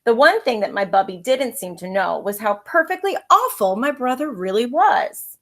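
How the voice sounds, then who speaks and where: A woman's voice: on the high side, lively intonation, moderate pace. Woman teacher reading a storybook aloud. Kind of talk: expressive children's storybook narration. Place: at home.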